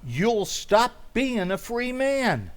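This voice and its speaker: A man's voice: very high-pitched, sing-song, takes it slowly, exaggerated voice. A man playing a middle-aged, wry and henpecked working-class pal in old-time radio comedy, with sardonic, folksy speech. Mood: cynical warning.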